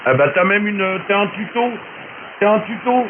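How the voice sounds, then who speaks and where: A man with a fairly high voice chats as a radio amateur, explaining technical things in loose, colloquial speech. He comes through a thin shortwave channel with clear hiss and static around him. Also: expressive, normal speed. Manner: colloquial, chatty explaining, frequent tag words. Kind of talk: casual radio chat with technical advice. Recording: narrow hissy noisy shortwave radio transmission.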